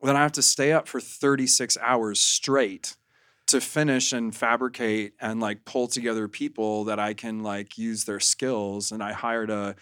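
A man speaking as a podcast guest in casual, anecdotal chat, with an emphatic, animated storytelling manner. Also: fairly low pitch, a little slow, smooth, no room sound, clean studio sound.

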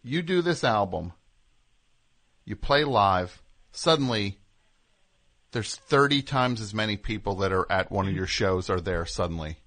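A man's voice: lowish voice, animated, measured pace, pauses often, fairly bright tone. A man hosting a radio show, chatting casually and comedically with a guest.

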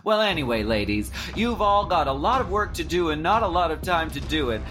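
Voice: mid-range voice, very animated, fairly quick, lightly acted. An actor playing a vain, rich and callous drag competition host in a campy comedic radio play, speaking in a grand, pompous way. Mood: breezily callous. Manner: theatrical, pompous, grandly announcing. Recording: music behind the voice.

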